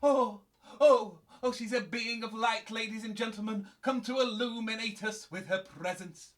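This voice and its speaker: A man's voice: high-pitched, fairly even intonation, normal speed, natural voice. A man playing a blustering, easily flustered fake spirit medium with a grandiose showman's delivery in a comic audio drama. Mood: theatrically ecstatic. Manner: grandiose showman's delivery, stammering when caught out. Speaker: medium leading a seance.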